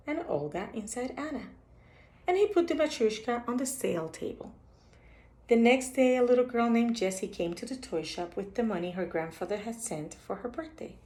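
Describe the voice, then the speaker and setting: Echoey woman reading a bedtime story. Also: a little high. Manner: expressive storytelling for young children. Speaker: storyteller reading a picture book. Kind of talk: read-aloud bedtime story with short asides on the pictures. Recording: echoey, roomy sound.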